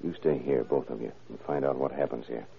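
An actor playing a wry, furtive police detective in a classic radio drama. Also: male voice, rumbling low, fairly even intonation, brisk, vocal fry. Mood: furtive, urgent. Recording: dull, muffled old radio broadcast.

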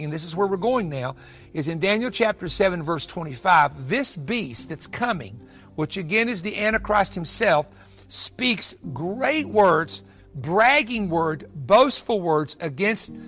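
A man's voice: high-pitched, a bit bright. A man preaching in a Bible-teaching style, explaining things emphatically and restating his points as he goes. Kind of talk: sermon-style Bible teaching, partly off the cuff.

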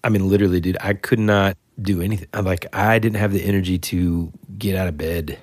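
A man's voice: low voice, fairly even intonation, brisk, no room sound, clean studio sound. A man co-hosting a podcast in a casual chat. He sounds nasal and stuffed up as he gets over an illness, rambling in a laid-back way. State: congested, recovering from illness. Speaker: podcast co-host.

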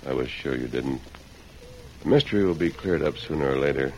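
An actor playing a calm, resolute cowboy lawman hero in an old-time radio western, speaking in a measured, formal, authoritative way. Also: rumbling low. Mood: hushed, thoughtful. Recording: noisy vintage radio drama recording.

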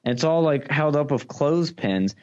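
A man's voice: fairly high pitch, animated, moderate pace, a little mellow, lo-fi sound. A young man telling anecdotes casually and enthusiastically, gushing with repeated superlatives and swearing freely. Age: young adult. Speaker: podcast guest.

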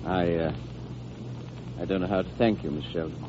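A man playing a devoted, sorrowful father in a vintage American radio drama, speaking earnestly and tenderly, with a halting delivery. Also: sits low, steady tone, darkish tone, natural voice. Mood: grave, halting. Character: devoted, sorrowful father shielding a dying child with fairy tales. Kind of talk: 1930s-40s American radio drama acting. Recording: muffled old radio recording with hiss.

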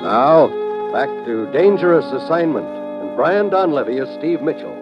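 A man's voice: mid-range voice, sing-song, moderate pace. A man reading scripted promos as a 1950s network radio announcer. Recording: dull old radio recording, music behind.

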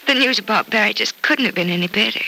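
A woman playing an eager, hopeful, devoted young hospital patient in a classic radio soap opera, with wistful, halting delivery. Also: mid-range voice, vocal fry, sharp tone, low-quality recording. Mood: hopeful, grateful. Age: young adult. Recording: old radio recording with faint noise.

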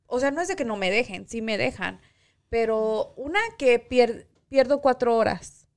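A woman's voice: a little high, moderate pace. Easygoing young woman. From Chicago. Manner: easygoing, code-switching, jokey. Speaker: co-host, bank worker. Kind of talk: casual chat.

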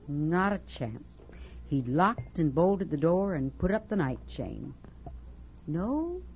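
An actress playing a chatty small-town motel clerk in an old-time radio drama, gossipy in manner. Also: female voice, sits low, breathy edge, exaggerated voice. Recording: tinny lo-fi radio broadcast.

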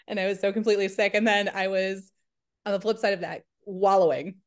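A woman's voice: mid-range voice, fairly even intonation, a little fast. A woman hosting a podcast, chatty and laughing easily as she tells stories. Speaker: podcast host. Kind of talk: casual podcast chat.

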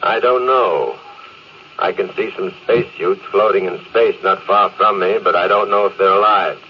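A man's voice: low-pitched, normal speed, close to an everyday voice. A man playing a stern, stoic spaceship captain in an old-time radio drama, terse and authoritative. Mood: grim, controlled. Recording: muffled, hissy vintage radio recording.

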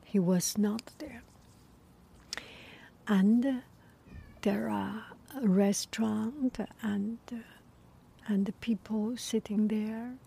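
Brief: woman, whispered storytelling, slow and hushed, mellow breathy tone. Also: mid-range voice, fairly even intonation. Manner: soft whispering, halting with many pauses.

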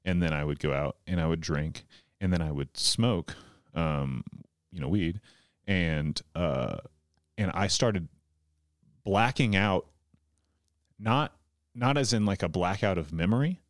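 A man's voice: deep voice, a little slow, pauses often, no echo, clean studio sound. A man in his thirties, a comedian guest, talking casually in a rambling way with lots of likes and restarts. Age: 30s. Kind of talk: casual podcast conversation.

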